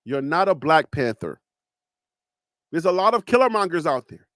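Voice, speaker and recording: High-pitched Liberian man. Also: normal speed, dry recording, clean studio sound. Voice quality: animated, rising pitch when arguing. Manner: emphatic, rhetorical questions, teasing. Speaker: live show host. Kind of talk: casual live-stream commentary.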